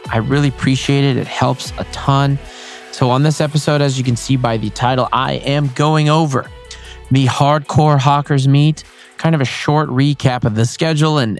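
A man hosting his own podcast, chatting casually and excitedly with frequent exclamations, his voice a little hoarse. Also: mid-range voice. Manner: enthusiastic, rambling, laughs often. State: slightly hoarse voice. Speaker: podcast host. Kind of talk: casual solo podcast recap.

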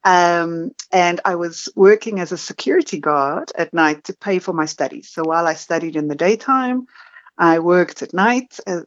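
A woman's voice: on the low side, moderate pace, no echo, dull audio. A middle-aged woman with a South African accent, a podcast guest telling her story frankly with self-deprecating humor over a video call. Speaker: marketing consultant, podcast guest.

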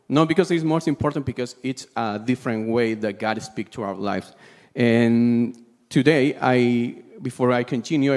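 A man from Peru with a Peruvian Spanish accent preaches a sermon in English as a second language, pausing now and then to search for words. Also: mid-range voice, a little mellow. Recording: long hall reverb.

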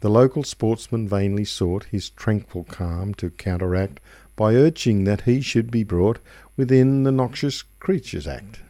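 A man reciting a rhyming tale as a radio storyteller, in measured, rhythmic phrases. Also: fairly low pitch, mellow, no room sound, clean studio sound. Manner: measured, rhythmic verse delivery. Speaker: radio reader. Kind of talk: expressive poetry recitation, storytelling.

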